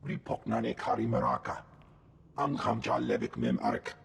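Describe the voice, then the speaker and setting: An actor voicing a gruff alien general in a video game, speaking an invented tongue in a gravelly rasp. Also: male voice, mid-range voice, very animated, moderate pace, exaggerated voice. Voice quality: gravelly, rasping. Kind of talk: cinematic video game creature voice. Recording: long hall reverb.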